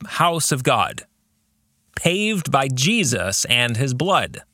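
Bright-voiced man, slow and expressive. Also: a little high, no echo, studio quality. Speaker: podcast host and author. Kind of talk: reflective book commentary addressed to listeners.

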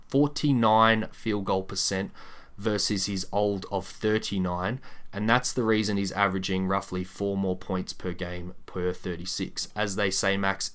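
Low-voiced man hosting a sports podcast. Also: a bit bright. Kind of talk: podcast chat with prepared stats quiz.

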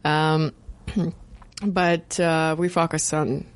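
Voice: medium pitch, flat delivery, very slow, clean tone. A podcast host, speaking hesitantly with many fillers and restarts. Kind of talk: casual podcast interview.

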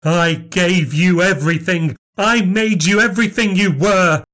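A man voicing a bitter, scheming old grandmother who is shrill, indignant and cutting. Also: very animated, takes it slowly, creaky, fairly bright tone, heavily acted. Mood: furious. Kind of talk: audiobook character voice.

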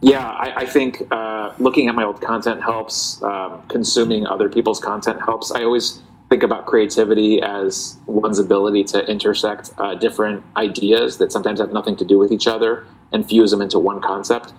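A man, a guest in a casual interview, talking in a relaxed, reflective way with many fillers and restarts. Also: lowish voice, a little fast, slight background noise, rough recording. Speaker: guest, parody account writer.

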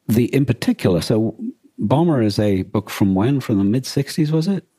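Deep voiced guy on a podcast, husky, dry close sound, keeps feeling around for the right word. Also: animated, mellow, dry recording. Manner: halting, searching for words. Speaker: podcast co-host. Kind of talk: casual discussion of a radio drama.

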